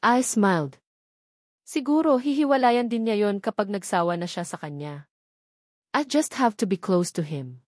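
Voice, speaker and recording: A woman's voice: medium pitch, expressive, moderate pace, long pauses, no room sound, studio quality. A woman reading a scripted romance novel aloud as a first-person narrator. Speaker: audiobook narrator.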